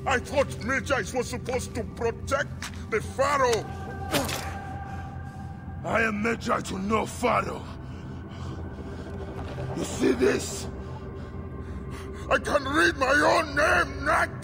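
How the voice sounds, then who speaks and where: A high, gravelly masked villain snarls threats in a game cutscene. His delivery is slow and wide-ranging. Loud score plays underneath. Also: over music, exaggerated voice. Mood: menacing. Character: menacing masked male villain.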